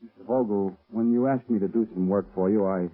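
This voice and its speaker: A man's voice: fairly low pitch, fairly even intonation, natural voice. An actor playing a meek, guilt-ridden family man in an old radio drama, speaking hesitantly and nervously. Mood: nervous, conscience-stricken. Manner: hesitant, halting delivery. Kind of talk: 1930s-40s radio drama acting. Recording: muffled, tinny vintage radio recording.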